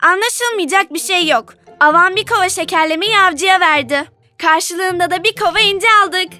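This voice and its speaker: A woman's voice: very high-pitched, moderate pace, sharp tone. A woman voicing a cheerful, kind young sea creature who leads and explains in a children's cartoon. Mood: reassuring. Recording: music bed under the voice.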